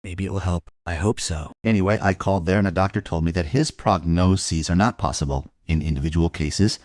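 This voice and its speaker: An actor playing a world-weary police investigator in a crime radio play, recounting a case in a reflective, deliberate manner. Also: male voice, low voice, a little mellow, no room sound, studio quality. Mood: weary, brooding. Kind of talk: German crime radio play acting, narrated monologue.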